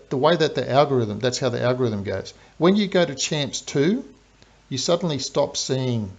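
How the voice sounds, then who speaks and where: A man with an Australian accent, a game streamer chatting through live commentary and explaining strategy step by step. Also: mid-range voice. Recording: dull lo-fi stream audio.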